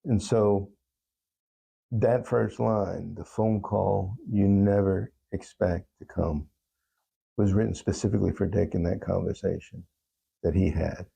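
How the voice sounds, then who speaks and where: A man speaking as an interview guest, telling personal stories in a reflective, halting and earnest way. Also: low voice, steady tone, vocal fry, mellow, breathy edge, poor audio. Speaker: talk show guest, songwriter.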